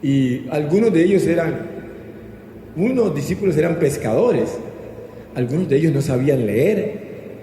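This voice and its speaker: A man's voice: a little high, dark tone. A man with a Colombian accent preaching a sermon as a pastor, teaching with rhetorical questions and asking listeners to repeat after him. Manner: didactic, prompting listeners to repeat and answer. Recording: echoey hall with long reverb, background noise.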